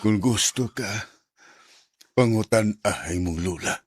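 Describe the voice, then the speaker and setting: A man acting an ailing, repentant middle-aged father in a radio drama, with a weary, husky voice and Cebuano accent. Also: on the low side, takes it slowly, heavy background noise. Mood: grave, bitter.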